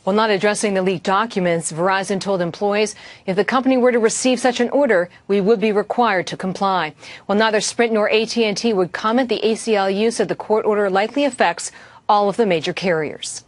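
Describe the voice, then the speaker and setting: A woman's voice: mid-range voice, a little fast, dry recording. A woman with an American accent delivering a scripted broadcast news report as a correspondent.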